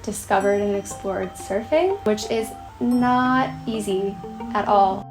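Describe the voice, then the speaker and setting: A twenty-six-year-old American woman from Massachusetts talking in a relaxed, conversational way to camera. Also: mid-range voice, slow, music in the background, poor audio. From Massachusetts, United States. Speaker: vlog roommate. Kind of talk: casual chat to camera.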